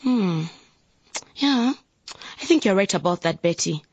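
A husky young female voice for a radio drama. She is a determined, easily exasperated elder sister, earnest and dramatic, at an average pitch in a dry studio. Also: lively intonation, a little slow, darkish tone, dry recording, dull audio.

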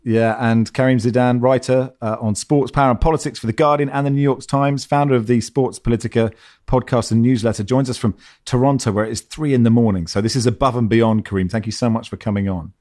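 A man's voice: medium pitch, steady tone, quick pace, a little mellow. Upbeat man hosting a podcast. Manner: upbeat, chatty introductions. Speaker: podcast host.

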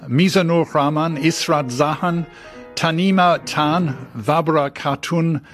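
A man's voice: on the high side, fairly even intonation, takes it slowly, soft background music. Male radio co-presenter reading listener mail.

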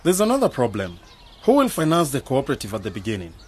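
A man's voice: medium pitch, huge pitch swings, quick pace, a little hiss or hum. A man acting in a radio drama as a skeptical, pragmatic young planner, challenging and matter-of-fact.

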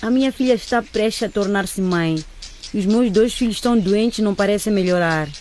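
Woman acting a soft-toned, troubled mother. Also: mid-range voice, brisk, a little hiss or hum, lightly acted. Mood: distressed. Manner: hesitant, pleading. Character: troubled, timid middle-aged mother seeking help. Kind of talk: radio drama acting.

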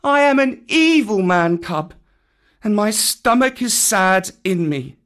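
Man putting on a very high, sorrowful little boy. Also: lively intonation, slow, a bit bright, full character voice. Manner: plaintive, sorrowful. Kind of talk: dramatic storybook character acting.

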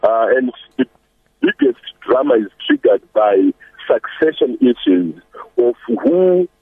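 A man's voice: mid-range voice, wildly swooping pitch, takes it slowly, creaky, husky, no room sound. A man from Zimbabwe, a human rights director, answering as a phone guest, hesitant with many fillers. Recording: tinny phone line.

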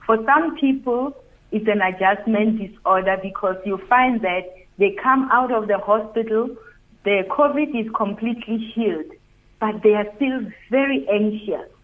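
Female psychologist, South African accent, measured, phone-quality audio. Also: medium pitch. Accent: South African English. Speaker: psychologist guest. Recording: tinny phone line.

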